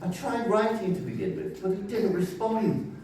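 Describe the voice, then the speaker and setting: A man's voice: sits high, lively intonation, moderate pace, dark tone. Guy playing a deluded chirpy psych patient, god's pompous mouthpiece in a play, swoopy voice, recorded far off in a noisy echoey room. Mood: earnest, aggrieved. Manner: earnest, pompous, chatty. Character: deluded, cheerful male psychiatric patient, self-appointed prophet of God. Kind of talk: stage play script reading. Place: large echoey room, mic at a distance.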